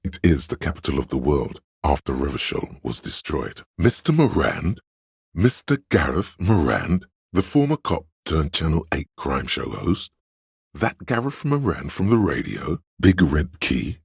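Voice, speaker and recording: Extremely deep inner voice of knowledge, heavily husky, erudite, dryly pedantic, deliberate game narration. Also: male voice, sing-song, dry recording, clean studio sound, exaggerated voice. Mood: dryly incredulous. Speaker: video game voice actor. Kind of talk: measured game narration addressing the listener.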